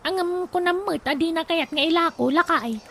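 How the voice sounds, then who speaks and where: An actress with an Ilocano accent playing a conniving middle-aged wife in a radio drama. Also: female voice, very high-pitched, fairly quick, gravelly, fairly bright tone, heavily acted. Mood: sly, eager. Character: conniving wife egging on her scheming husband.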